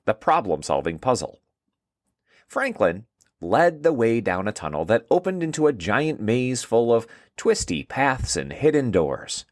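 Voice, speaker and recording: A man's voice: medium pitch, sing-song, unhurried, no echo, clean studio sound. A man narrating a scripted children's story in storybook style.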